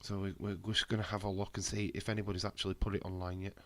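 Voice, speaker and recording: A man's voice: deep voice, flat delivery, rapid-fire, no room sound. Man with a head cold, casual streaming. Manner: blunt, swears freely, reads out chat. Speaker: wrestling watch-along streamer. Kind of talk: casual live-stream chat.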